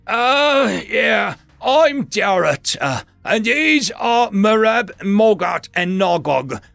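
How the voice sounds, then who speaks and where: A man's voice: high voice, sing-song, takes it slowly, creaky, a bit bright, dry recording, voice pushed up, heavily acted. A man voicing a tough teenage orc boy with a thick accent who blusters and then stammers nervously, in a storybook reading. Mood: sheepish. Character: tough teen orc boy, loud bully turned sheepish. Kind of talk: storybook narration.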